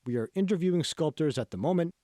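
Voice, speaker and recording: A man's voice: a little high, animated, fast, dark tone, no echo, studio quality, pitched above the performer's own voice, lightly acted. A man reading out a university official's letter in an audiobook, formal, emphatic and ceremonious. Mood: formal, proud.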